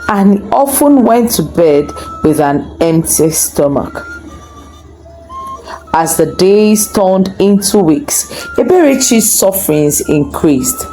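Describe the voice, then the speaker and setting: A woman narrating a scripted folktale in an expressive, deliberate storytelling manner. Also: mid-range voice, low-quality recording.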